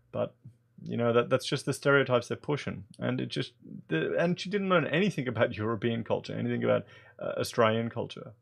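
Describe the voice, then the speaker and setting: A man's voice: mid-range voice, gravelly. A man in his thirties whose first language is German, reading a news article aloud and breaking in with sarcastic, exasperated commentary. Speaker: political commentator.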